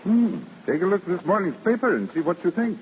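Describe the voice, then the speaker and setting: Doting eager gentleman, animated pitch, affectionate, brisk, old radio drama, muffled recording. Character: doting, eager wealthy gentleman, devoted fiancé. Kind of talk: 1930s-40s radio drama acting. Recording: dull old radio recording, faint noise.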